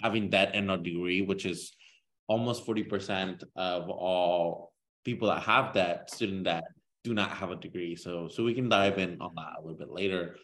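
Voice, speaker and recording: A man in his twenties from Kansas, a guest on a casual podcast interview, speaking earnestly with halting restarts. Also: low-pitched, a bit bright, a little hiss or hum. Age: 20s.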